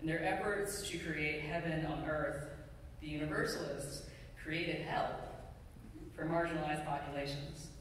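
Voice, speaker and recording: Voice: mid-range voice, steady tone, measured pace, a bit bright. Echoey sermon from a middle-aged pastor. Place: church sanctuary pulpit, indoors. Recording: very echoey hall, distant mic, faint noise.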